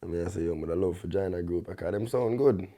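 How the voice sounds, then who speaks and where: A young man with a Jamaican accent and a deep bass voice, talking in relaxed patois as a guest artist, often tagging his sentences with a check-in. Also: quick pace. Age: young adult. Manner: laid-back, peppers talk with "you see me?".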